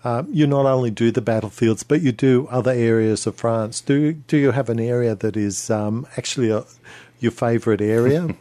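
Guy interviewing on radio, warm dark tone, mid pitch, bit hesitant with the questions. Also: moderate pace.

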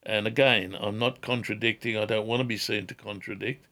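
An older man answering callers on a radio health show, measured and careful, often repeating words and hedging before giving advice. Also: on the low side, steady tone, bright, forceful tone.

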